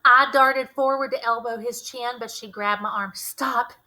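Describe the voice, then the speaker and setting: Bright-voiced woman reading a story aloud. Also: a little high, steady tone, normal speed. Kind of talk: expressive scripted audiobook narration.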